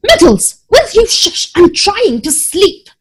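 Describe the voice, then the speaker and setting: A woman voicing a prim, dramatic, easily offended pet cat who narrates a children's story in an expressive, haughty manner. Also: very high-pitched, takes it slowly, pitched above the performer's own voice, heavily acted. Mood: irritated.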